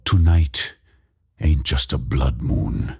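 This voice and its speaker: A man's voice: extremely low pitch, takes it slowly, vocal fry, dark tone, slightly rough, exaggerated voice. A man voicing a grave, resolute conjure woman in a horror audiobook, murmuring ominously.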